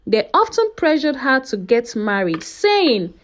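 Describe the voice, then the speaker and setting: A woman narrating a folktale as a scripted story, with an expressive, dramatic delivery. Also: high-pitched, unhurried.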